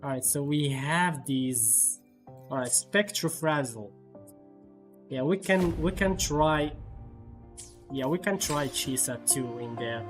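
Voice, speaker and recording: A man giving casual live gameplay commentary as a streamer, often repeating words in a halting way. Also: medium pitch. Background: faint game music.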